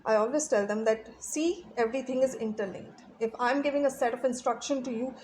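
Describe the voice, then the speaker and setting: Earnest woman teacher, pitched up. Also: darkish tone, a little hiss or hum, low-quality recording. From Pakistan. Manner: earnest, explanatory, pauses often to find words. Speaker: guest, English teacher and trainer. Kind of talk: interview answers.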